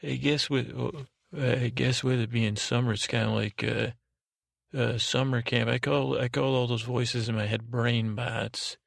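A man with a heavily gravelly, fry-laden voice hosts a sleep podcast in a close, dry recording. He meanders through a deadpan bedtime monologue with little rise and fall in pitch, hesitating and correcting himself as he goes. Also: a little slow, no room sound. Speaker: podcast host.

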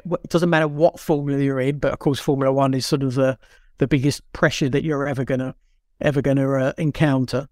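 A man co-hosts a relaxed podcast, stressing his points and often restarting his sentences. His voice sits a little high for a man. The sound is dry and close, with no room echo. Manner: emphatic, stumbling restarts. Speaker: podcast co-host. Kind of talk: casual chat.

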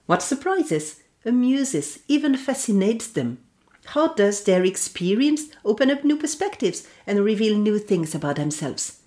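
A woman hosting a podcast, reading a scripted introduction and then interviewing a guest in a measured, deliberate way. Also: mid-range voice, darkish tone. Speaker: podcast host.

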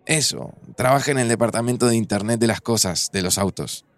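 A man's voice: mid-range voice, a little fast, no room sound, a faint crowd, close to an everyday voice. An actor playing a nervous, smitten man in his forties, chatting casually and affectionately with an old friend in a Buenos Aires accent, in a fiction podcast. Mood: sincere, nervous. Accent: Argentine, Buenos Aires. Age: 40s. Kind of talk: naturalistic fiction podcast dialogue.

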